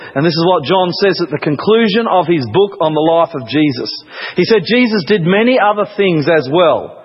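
A man preaching a sermon, deliberate and emphatic, repeating phrases and pausing for effect. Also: high voice, slightly rough. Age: 40s. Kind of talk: sermon, Bible study. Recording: muffled radio-like sound, long hall reverb.

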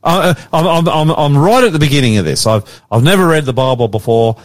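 A man's voice: a little high, lively intonation, brisk. A man hosting an interview, asking simple, plain questions and stumbling a little at times. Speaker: interviewer, host.

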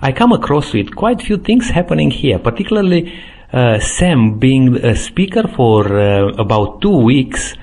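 A man hosting an interview, asking halting questions full of fillers. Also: medium pitch, big pitch swings, a little mellow. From Romania. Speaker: interviewer and program host.

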